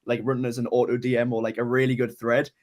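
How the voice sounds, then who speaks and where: A man's voice: mid-range voice, little pitch movement, fast talker, a little mellow. A man hosting a casual interview with a client, talking enthusiastically and filling his speech with "like". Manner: enthusiastic, heavy use of "like". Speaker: host, agency owner interviewing client.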